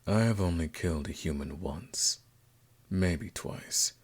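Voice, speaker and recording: A man playing a suave, courteous vampire nobleman in a scripted ASMR roleplay, speaking formally and softly in a gravelly voice. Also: takes it slowly, heavily acted. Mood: confessional, subdued. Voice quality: very deep, gravelly. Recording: music playing behind the voice.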